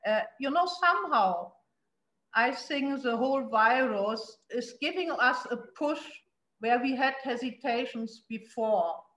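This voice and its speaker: A woman's voice: fairly high pitch, lively intonation, a little slow, a bit bright, echoey room, low-quality recording. A middle-aged woman with a German accent speaking on a panel over a video call. She talks earnestly and emphatically, with frequent fillers and restarts. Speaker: city investment promotion official. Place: indoor room at home. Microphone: laptop webcam on a video call.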